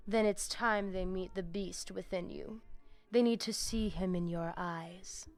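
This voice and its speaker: A woman's voice: medium pitch, steady tone, a little slow, dry recording, soft background music, lightly acted. An actress playing a sly, seductive female vampire pack leader in a video game, with a Latin American Spanish accent and a taunting, theatrical manner. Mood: enticing, conspiratorial.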